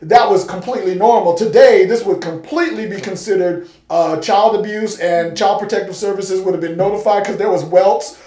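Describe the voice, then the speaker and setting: Man, 40s, sociology professor, lively casual talk, bright high voice, roomy sound. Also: brisk, few pauses. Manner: animated, gesturing. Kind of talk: casual discussion. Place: small room, yellow wall.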